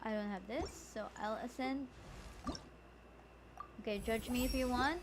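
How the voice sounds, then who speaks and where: A woman chats casually while streaming a game. Her high voice moves at a slow pace and turns playfully exclamatory, with clear background noise of the game. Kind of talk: casual live gaming commentary.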